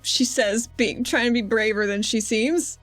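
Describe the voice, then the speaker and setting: A woman roleplaying in a tabletop game, speaking timidly with halting, stammered phrases. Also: a little high, fairly even intonation, dry recording. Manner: hesitant, timid, stammering in character. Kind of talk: in-character roleplay. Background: faint music.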